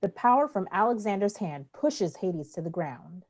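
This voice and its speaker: A woman's voice: medium pitch, very animated, moderate pace, darkish tone. A woman narrating a play, reading scripted stage directions.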